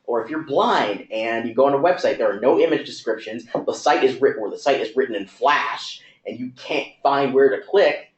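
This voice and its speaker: A man speaks in a medium voice and explains his point in long, rapid, run-on sentences with fillers. The recording sounds dull and lo-fi. It has a noticeable echo from the room. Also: medium pitch. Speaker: talk speaker. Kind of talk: conversational talk.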